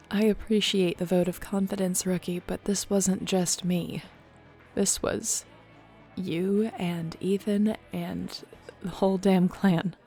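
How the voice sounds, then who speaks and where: A young shapeshifter woman played by a voice actress leads her clan with warmth. Her voice sits mid-range with a creaky edge, and she chats sincerely and casually, as in an audio roleplay, with faint music underneath. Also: mid-range voice, close to an everyday voice. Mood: fond, sincere. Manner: casual, sincere, conversational storytelling. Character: warm young female shapeshifter, newly made clan leader.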